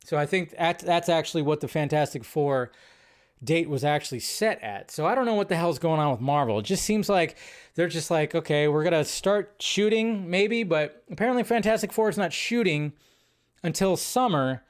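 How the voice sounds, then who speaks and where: A man's voice: on the high side, lively intonation, moderate pace, smooth. A young adult man hosting a casual live movie news stream, rambling and correcting himself as he talks. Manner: rambling, self-correcting, chatty.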